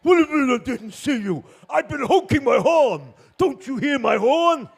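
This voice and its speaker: Guy doing a hot-tempered driver yelling, totally exasperated, high pitched and all over the place, story style. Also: expressive, a little slow, exaggerated voice. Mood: exasperated, angry. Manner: loud, agitated, incredulous. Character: exasperated, hot-tempered male motorist shouting to be heard.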